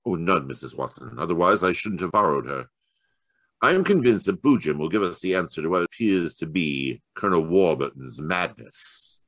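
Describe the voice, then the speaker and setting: Guy acting old radio mystery detective, lowish voice, really animated, stammery and formal, dry sound. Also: no room sound. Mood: deliberate, authoritative. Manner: halting, stammering, then deliberate and formal. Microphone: home microphone on a video call.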